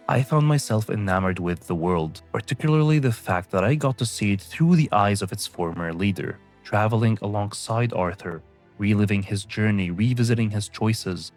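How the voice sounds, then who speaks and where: Deep-voiced solemn essay narrator, man. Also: a little mellow. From Syria. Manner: measured, solemn. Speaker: video essayist. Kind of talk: scripted narration.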